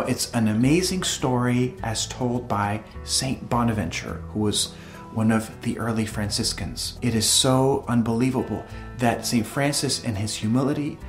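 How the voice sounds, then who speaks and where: Earnest man hosting devotional videos. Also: medium pitch. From El Salvador. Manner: earnest, reverent, unhurried. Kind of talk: devotional storytelling and reading aloud.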